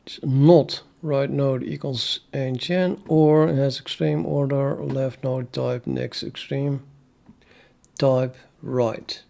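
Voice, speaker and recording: A man's voice: mid-range voice, steady tone, creaky, warm tone. A man streaming his programming work, thinking aloud and talking himself through the code. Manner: slow, musing, talks himself through code. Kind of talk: thinking aloud while coding.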